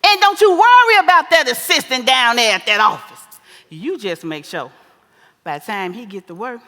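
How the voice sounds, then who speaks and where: A theater hall rings around a bawdy older mama played by a woman, high-voiced and bright. She takes her time, preaching sassy advice to her grown daughter with exclamations and broad stage-comedy delivery. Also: expressive, normal speed. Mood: emphatic, teasing. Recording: echoey theater hall with long reverb.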